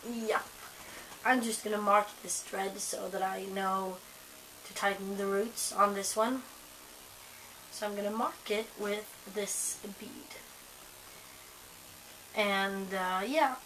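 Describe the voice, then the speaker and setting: A young woman with a hoarse voice from a cold, chatting casually to camera at home, rambling with lots of ums and likes. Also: mid-range voice, fairly even intonation, takes it slowly, long pauses, sharp tone, slight background noise, rough recording. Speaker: vlogger.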